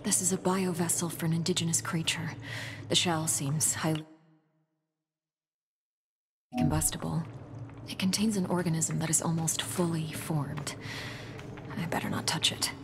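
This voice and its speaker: A woman playing an observant scientist explorer in a sci-fi video game, speaking in a measured, analytical way. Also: sits low, steady tone, vocal fry, over music. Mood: wary. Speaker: game protagonist. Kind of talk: scripted video game voice acting, spoken log entries and musing aloud.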